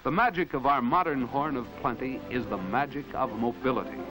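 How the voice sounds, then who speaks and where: A man's voice: medium pitch, a little fast, poor audio. An American man delivering earnest, rhetorical narration in the proclaiming style of a 1950s educational film. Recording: vintage 1950s film soundtrack with loud music. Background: background music.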